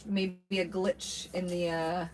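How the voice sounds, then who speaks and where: A woman's voice: on the low side, little pitch movement, slow, background noise. A woman with a nasal voice hosting a casual live chat, reacting to her guest and reading viewer comments aloud.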